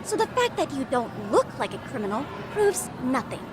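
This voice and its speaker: A woman's voice: high-pitched, big pitch swings, moderate pace, noisy background. A woman playing an eager, pompous teenage girl who is president of a mystery club, in a dramatic video game style. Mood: accusing, confident. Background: background music.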